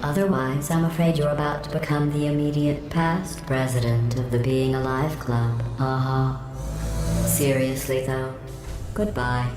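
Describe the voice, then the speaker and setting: An actress voicing a murderous artificial intelligence in a video game, deadpan and sardonically polite, with synthetic processing on her voice. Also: extremely low pitch, unhurried. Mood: mocking. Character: cold passive-aggressive murderous female artificial intelligence. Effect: synthetic robotic voice processing. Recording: echoey, under loud game music.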